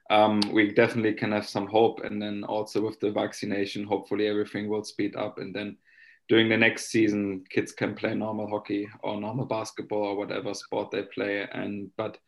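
A man with a Finnish accent co-hosting a podcast interview, halting as he searches for words. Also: fairly low pitch, fairly bright tone, poor audio. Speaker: podcast co-host.

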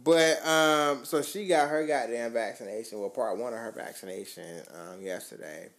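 A man, co-hosting a podcast in rambling, profane chat that often trails off quietly. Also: medium pitch, normal speed. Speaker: podcast co-host. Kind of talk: casual chat.

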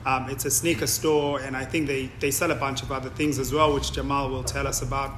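A man's voice: mid-range voice, little pitch movement, brisk. A man hosting a casual radio interview, friendly and hesitant, with frequent ums and uhs. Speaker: radio host. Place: inside a sneaker store. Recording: echoey shop with background noise.

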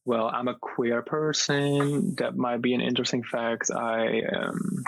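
A man's voice: medium pitch, level tone, measured pace, gravelly, poor audio. Young man, from the Netherlands, podcast guest, hesitant, lots of ums. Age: young adult. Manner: hesitant, modest, many ums. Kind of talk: casual podcast conversation.

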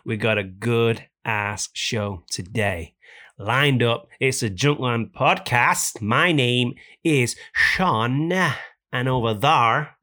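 Raspy-voiced man with a cold. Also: medium pitch, slow pace, bright, forceful tone. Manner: jokey, complaining rants. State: hoarse from a cold. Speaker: podcast host. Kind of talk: casual podcast chat.

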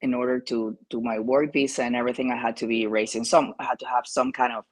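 A man from Peru with a Peruvian Spanish accent, giving casual interview answers as a jockey, haltingly searching for phrasing. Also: medium pitch, level tone.

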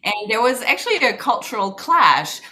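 A woman's voice: fairly high pitch, big pitch swings, normal speed, pushed and bright. A woman in her thirties from Germany, a podcast guest introducing herself in a candid, articulate, conversational way.

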